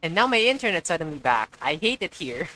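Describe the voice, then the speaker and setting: A woman's voice: on the low side, big pitch swings, fairly quick, sharp tone. A woman chatting casually and bluntly, with a playful streak, while live streaming a game.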